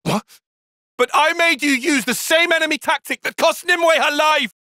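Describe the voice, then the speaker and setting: An actor playing a guarded, analytical young soldier-tactician in a video game, with formal, bookish phrasing and a dry, prickly edge. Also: male voice, very high-pitched, moderate pace, pushed and bright, dry recording. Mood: agitated, outraged. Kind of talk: video game cutscene acting.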